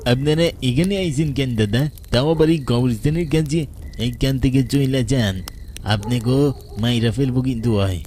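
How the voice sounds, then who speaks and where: A man's voice: medium pitch, moderate pace, a little mellow, a little hiss or hum, natural voice. A man with an eastern Bengali accent playing a remorseful village tea seller's ghost, grave and warning, in a horror cartoon. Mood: urgent warning.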